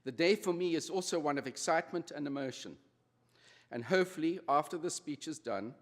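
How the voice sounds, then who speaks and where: A man in his sixties with a South African accent, giving a formal convocation address in a measured, slightly nervous way. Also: on the high side, expressive. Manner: measured, slightly nervous delivery with small restarts. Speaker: honorary degree recipient giving convocation address. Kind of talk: formal ceremony speech. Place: large ceremony hall. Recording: long hall reverb.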